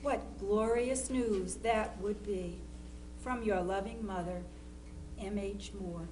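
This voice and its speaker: A woman acts as a loving mother of the Civil War era, reading a letter to her soldier son. She takes her time, with measured care and gentle worry. A faint hum sits under the recording. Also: mid-range voice, natural voice. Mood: tender concern. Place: live historical society presentation. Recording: faint hum and background noise.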